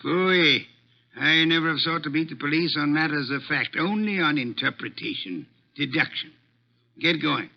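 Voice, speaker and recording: A pompous, irritable genius detective in vintage radio drama. His voice is fairly high for a man and gravelly, and his wording is grand and exclamatory. The old recording is dull. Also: moderate pace. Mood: imperious. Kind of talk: 1940s-50s radio drama acting.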